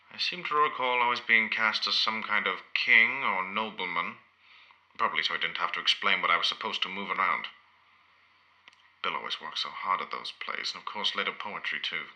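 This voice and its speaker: Proud ageing poet, sharp-edged voice. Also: male voice, sits low, exaggerated voice, rough recording. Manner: measured, rambling, literary, deliberate. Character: ageing imprisoned modernist poet, proud, reminiscing, wounded dignity. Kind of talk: audio-play acting.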